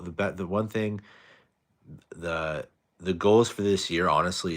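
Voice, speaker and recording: A young man hosting a casual late-night live stream, soft-spoken and low-energy, chatting with viewers and reading their comments. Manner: tired, low-energy, laid-back.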